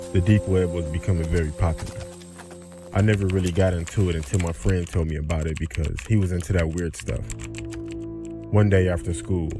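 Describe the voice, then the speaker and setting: A young man reading a scripted first-person horror story, with music playing behind him. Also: low-pitched.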